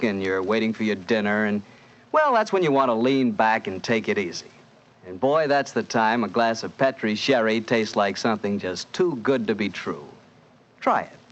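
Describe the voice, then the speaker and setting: Folksy American radio announcer, muffled old recording. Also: male voice, fairly low pitch. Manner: folksy, conversational salesmanship. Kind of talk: 1940s radio announcing and sponsor pitch. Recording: dull 1940s radio recording.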